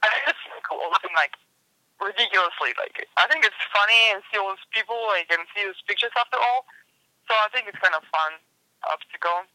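A man with a Russian accent, raised in Siberia, speaks in a high, bright, tense voice. He chats in halting, searching phrases and breaks into giggles often. The sound is dry and close. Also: no echo, rough recording. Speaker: obstacle racer, guest. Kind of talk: casual interview chat.

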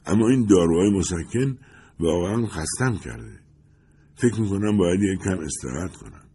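Weary, polite male musician, low and guarded, a narrator voicing himself. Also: animated, mellow, slightly rough. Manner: polite, tired, reserved.

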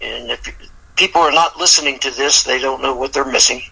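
An older man, a guest on a radio show, talking in a warm, stammering, affectionate way over a phone line. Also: medium pitch, fairly quick, vocal fry, breathy edge. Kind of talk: casual radio chat.

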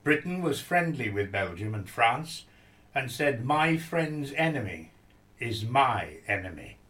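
A man's voice: medium pitch, very animated, measured pace, fairly bright tone. A man reading scripted historical narration for a radio play.

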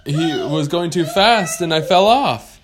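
A storyteller voicing a young female adventurer, nervous and excitable, exclaiming and questioning in a children's story. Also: mid-range voice, a little slow, background noise, voice pushed up, lightly acted. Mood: relieved, explaining.

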